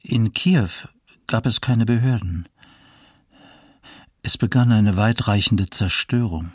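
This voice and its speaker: Very husky, low elderly male eyewitness. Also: slow pace, a little mellow, no echo, studio quality. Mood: troubled recollection. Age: older. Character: elderly male eyewitness remembering wartime horror. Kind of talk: documentary radio drama, interview testimony.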